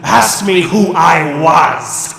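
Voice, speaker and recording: Slow, echoing, tormented ghost. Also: male voice, on the high side, gravelly, fairly bright tone, exaggerated voice. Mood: solemn. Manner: slow, solemn, declamatory, drawn-out delivery. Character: mournful, tormented male ghost of a dead businessman. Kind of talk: theatrical radio play acting. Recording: echoey hall reverb with music behind.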